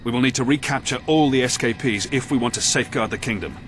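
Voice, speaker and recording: An actor playing a grim, dutiful military commander in a video game, speaking in an earnest, formal, authoritative way. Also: male voice, mid-range voice, quick pace, fairly bright tone, soft background music, lightly acted. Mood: grave, explaining. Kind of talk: video game cutscene acting.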